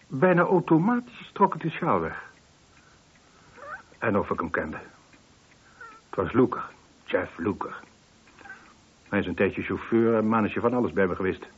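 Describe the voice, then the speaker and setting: A man's voice: lowish voice, expressive, a little slow, pauses often, husky. An actor playing a weary, defensive man nearing sixty in a Dutch radio play, explaining himself hesitantly. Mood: uneasy, explaining. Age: late 50s. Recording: muffled, band-limited old radio recording.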